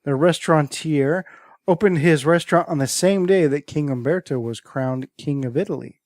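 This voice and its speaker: A man's voice: a little high, moderate pace, a little mellow, no echo, clean studio sound. A man hosting a podcast and reading out a list of strange stories in a casual way, now and then tripping over names; his first language is Greek. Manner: storytelling, stumbles over names and words. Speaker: podcast host presenting a list.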